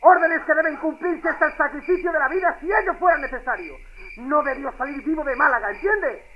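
A woman's voice: sits high, brisk. A woman playing a stern, imperious army general in a historical audio drama, curt and formal, heard through a telephone. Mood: angry, commanding. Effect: telephone filter. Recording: dull telephone line, faint noise.

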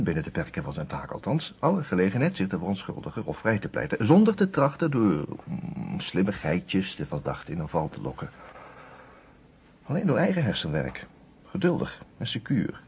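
An actor playing an expressive, reflective storyteller who narrates a detective radio play in a dramatic scripted style. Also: male voice, sits low, a little fast, slight background noise, exaggerated voice. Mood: admiring, reflective. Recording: dull old radio recording.

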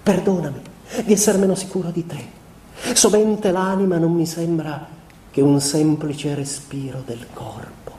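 An actor playing a sensitive, troubled young husband in a radio play, speaking a measured, introspective and sincere literary confession. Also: male voice, on the high side, warm tone, lightly acted. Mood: grave, confessional. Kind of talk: dramatic literary monologue, radio theatre. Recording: roomy stage sound with background noise.

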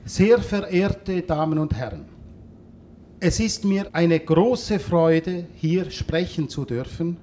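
An actor playing a pompous food industry executive in a German radio drama, formal, measured and self-important. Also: male voice, a little high, animated, warm tone, slight background noise. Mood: solemn, self-important. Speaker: gala speaker.

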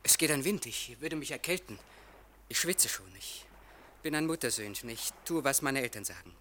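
A man acting in a German radio play as an anxious, guilt-haunted young gentleman, timid and childlike, with a husky voice. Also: mid-range voice, animated, moderate pace, slight background noise. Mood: agitated, fearful.

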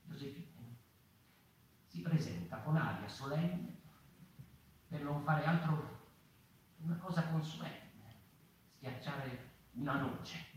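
An actor playing a wryly musing narrator of a mouse people in a questioning, hesitant theatrical monologue. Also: male voice, fairly high pitch, takes it slowly, long pauses. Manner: rhetorical, hesitant repetitions, tag questions. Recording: echoey hall from far off, noisy.

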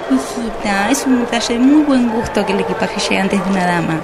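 A woman's voice: mid-range voice, fairly quick, heavy background noise, close to an everyday voice. A woman acting a nagging, snobbish older mother with an Argentine accent in a radio drama. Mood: condescending. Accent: Argentine, Rioplatense.

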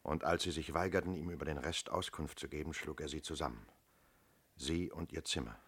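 Casting: police inspector, radio crime play; very deep bass, shrewd, methodical, sure of himself. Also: male voice, fairly quick, a bit bright, breathy edge, exaggerated voice. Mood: probing, firm. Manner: methodical, firm, explanatory. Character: shrewd, self-assured male police inspector. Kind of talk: German radio crime drama acting.

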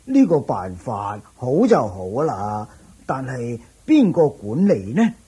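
An actor playing an agreeable, supportive man in a radio drama, speaking in a drawn-out, approving way with a Hong Kong Cantonese accent. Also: medium pitch, huge pitch swings, warm tone, husky, heavily acted.

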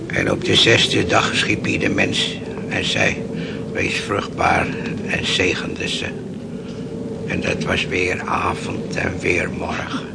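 A man's voice: low voice, steady tone, slow pace, gravelly, full character voice. A man acting a pious, fatalistic old fisherman in a radio play, rambling and hesitant in a folksy way. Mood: pious musing. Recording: very noisy old radio recording.

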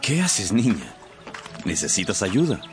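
An actor playing a kindly, protective fatherly man in a radio drama, calm and courteous in manner. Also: lowish voice, a little slow, soft background music. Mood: gentle concern. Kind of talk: Spanish-language radio drama acting.

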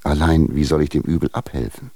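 An actor playing a stern, formal patriarch, delivering measured, reproachful letters in a German radio drama. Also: male voice, a bass voice, steady tone, mellow, slightly rough, no echo, exaggerated voice. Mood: grave, reproachful. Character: stern, formal patriarch admonishing a younger husband.

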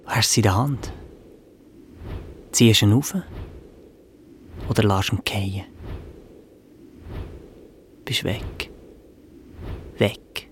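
An actor playing a brooding dreamer in a radio drama, murmuring a poetic inner monologue in short, half-whispered phrases. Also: male voice, fairly low pitch, takes it slowly, long pauses, a bit bright. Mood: wistful. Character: brooding man dreamily musing to himself about flight. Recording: clear ambient noise behind the voice.

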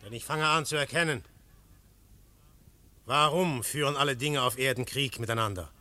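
Forceful, fervent defender of order. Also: male voice, mid-range voice, no room sound. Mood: dawning conviction. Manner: fervent, rhetorical, swinging from hushed to outcry. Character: defiant, fervent male champion of law and order. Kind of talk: German radio play acting.

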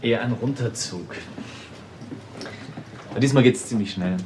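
A man's voice: mid-range voice, normal speed, background noise, natural voice. An actor playing a confident male computer insider in a German radio play, explaining things matter-of-factly. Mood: hushed, urgent. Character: Chris, confident young male computer insider showing a secret control room.

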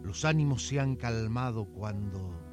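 An Argentine man narrating a radio serial in a theatrical, measured manner. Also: lowish voice. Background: music.

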